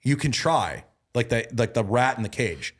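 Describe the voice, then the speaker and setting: A man in his thirties talking as a guest on a casual podcast. He sounds earnest, repeats himself and restarts a lot, and grows emphatic and louder when he starts preaching. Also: mid-range voice, lively intonation, moderate pace. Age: 30s. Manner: repeats words and restarts often. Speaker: guest, bodybuilding and mindset coach.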